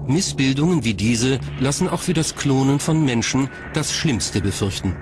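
A man's voice: mid-range voice, lively intonation, moderate pace, background noise. A man reading scripted documentary narration as the narrator. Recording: music bed behind narration. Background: background music.

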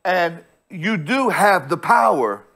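A pastor in his thirties delivers a sermon from a church stage, speaking into a small body mic. His voice sits a little high for a man, and he takes his time. He drives each point home with repeated phrases and restarts. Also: lively intonation, a bit bright. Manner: preaches slowly with emphatic repetition and restarts. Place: church stage with bright screen backdrop.

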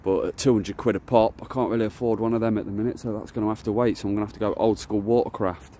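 A deep-voiced man talks in a loose, rambling way while walking a lakeside with his phone. His speech wanders and restarts, with a faint hiss of outdoor wind behind him. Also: fairly even intonation, fast, mellow. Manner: rambling, chatty, self-correcting. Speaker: carp angler vlogger. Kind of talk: casual walk-and-talk vlog. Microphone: phone camera held while walking.

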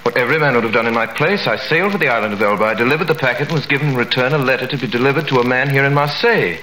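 A man's voice: medium pitch, racing, sharp tone, natural voice. A young actor playing an honest, polite young sailor who answers earnestly and pleads his innocence, in a 1930s radio drama. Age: young adult. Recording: roomy old radio recording with faint hiss.

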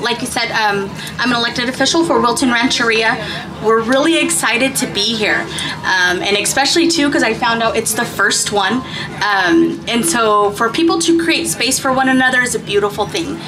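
A woman's voice: fairly high pitch, poor audio. A woman giving an informal welcome speech as a guest official on an outdoor stage microphone. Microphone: stage microphone through PA speakers.

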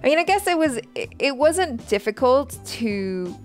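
A woman's voice: sits high, sing-song, moderate pace. Young woman, reaction host, conversational, thinking aloud, headset mic, small studio, music behind. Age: young adult. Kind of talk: casual reaction commentary. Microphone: headset boom mic.

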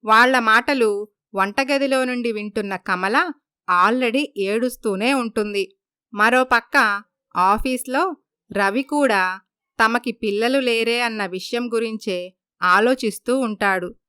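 A woman narrates a scripted family story. Her voice sits fairly high, with a bright, smooth tone. The recording is close and dry. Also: takes it slowly, no room sound.

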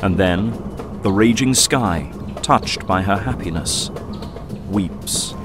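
A man's voice: lowish voice, slow. A man narrating an audio drama from a script in a solemn, dramatic storytelling manner. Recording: loud music and effects behind.

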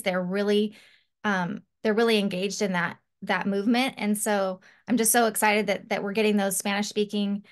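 A woman in her thirties hosting a podcast interview over a video call, chatty and friendly, often restarting her sentences. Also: mid-range voice. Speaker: host.

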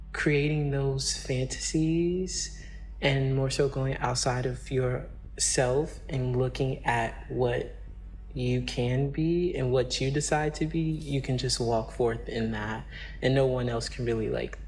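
A young dancer from Chicago talks casually, letting his thoughts ramble. His voice sits at an ordinary middle pitch. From Chicago, Illinois. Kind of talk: casual interview talk.

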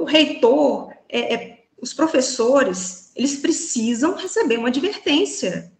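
A high-voiced woman with a Minas Gerais Brazilian accent argues her views in an indignant tone, leaning on rhetorical questions and fillers. She speaks through a laptop webcam mic on a video call, and the small room around her sounds echoey. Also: a bit bright. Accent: Brazilian Portuguese, Minas Gerais. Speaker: panelist. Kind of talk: opinionated commentary on a video call. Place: small indoor room with a plain wall.

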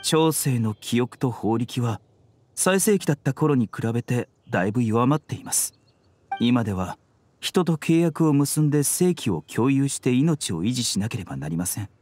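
A man voicing a gentle, tactful physician in a video game, speaking in very polite, measured, formal phrases. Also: mid-range voice, animated, no room sound, natural voice. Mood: explanatory. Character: courteous, composed male physician, gentle and tactful.

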